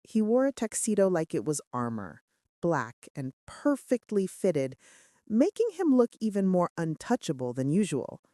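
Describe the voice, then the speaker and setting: A woman's voice: lowish voice, sing-song, normal speed, dark tone, no echo, studio quality. A woman reading scripted first-person romance fiction as an audiobook narrator, with dramatic, suspenseful delivery.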